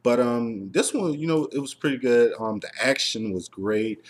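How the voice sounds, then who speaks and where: A man's voice: medium pitch, animated, moderate pace. A man hosting a casual review discussion, talking in a rambling, enthusiastic way with plenty of filler words. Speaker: host of a TV review show.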